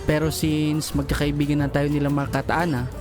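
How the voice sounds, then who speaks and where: An actor playing a gentle male boss who is in love, speaking softly and earnestly in an audio drama. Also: mid-range voice, little pitch movement, brisk, close to an everyday voice. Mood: playful. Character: gentle smitten male boss, secretly playful. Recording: music bed under voice. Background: romantic background music.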